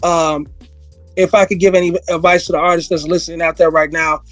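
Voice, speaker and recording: A hoarse man, a music industry veteran, talking as a podcast guest, rambling through stories, repeating himself and laughing often. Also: on the high side, flat delivery, brisk, a bit bright, no room sound. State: hoarse, losing his voice. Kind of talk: casual interview conversation.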